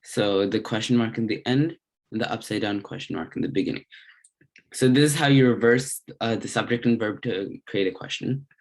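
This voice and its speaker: A man giving a slide-based language lesson as a tutor, explaining in short, halting phrases with pauses. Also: fairly low pitch. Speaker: Spanish grammar tutor.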